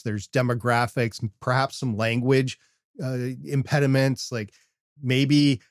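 An older man with a North American accent talks as a podcast co-host. His delivery is casual and animated, wandering into self-deprecating asides. The sound is dry, with very little room on the voice. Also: medium pitch, no room sound. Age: older, over 50. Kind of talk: casual discussion with examples.

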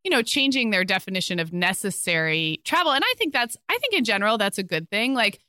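A woman co-hosting a podcast in casual conversation, slightly hoarse from a cold, thinking her ideas through out loud. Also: medium pitch, big pitch swings, fast talker, no echo, clean studio sound. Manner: thoughtful, talks through ideas with restarts. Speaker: podcast co-host.

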